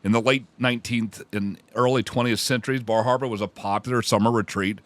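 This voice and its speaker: A man with a Kentucky accent hosts a relaxed travel podcast from a home theater room. He rambles, restarts his sentences and reads fun facts aloud. His mid-pitched voice is recorded close and dry, with no room sound. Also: brisk, dry recording. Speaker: podcast host. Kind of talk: casual podcast chat.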